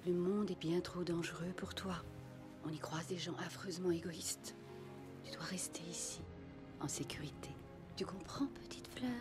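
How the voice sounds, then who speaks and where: A woman voicing a possessive, scheming mother figure who speaks softly and coaxingly, half in a whisper, over background music. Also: on the low side, normal speed, natural voice.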